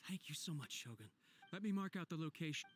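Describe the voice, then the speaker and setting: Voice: medium pitch, lively intonation, fast, slight background noise, natural voice. An actor playing a deferential, anxious clan retainer in an anime video game, hesitant and formal. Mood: grateful.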